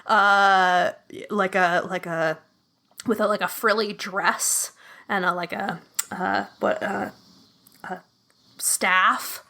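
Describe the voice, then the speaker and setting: A woman plays a tabletop mystery as a guest, chatting casually and laughing often. Her voice is bright and forward, at a middle pitch for a woman. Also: fairly even intonation, slow pace, vocal fry. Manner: chatty, laughs often, slips into a posh in-character voice. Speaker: guest player. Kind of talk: casual play chat.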